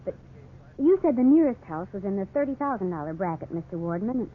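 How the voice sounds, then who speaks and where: A woman's voice: medium pitch, lively intonation, a little fast, natural voice. An actress playing an eager, delighted young wife and mother in a 1950s radio drama, gushing with wide-eyed enthusiasm. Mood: mild, curious. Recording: tinny, hissy 1950s radio recording.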